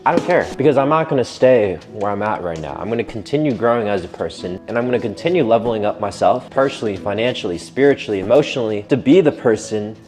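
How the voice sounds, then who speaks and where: A young man in his twenties speaks in a lowish voice, casual and candid, dropping swear words as he reflects. The sound is roomy, with loud guitar music playing behind him. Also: animated, fast, hardly any pauses. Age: 20s. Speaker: vlogger. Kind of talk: reflective personal vlog. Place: apartment.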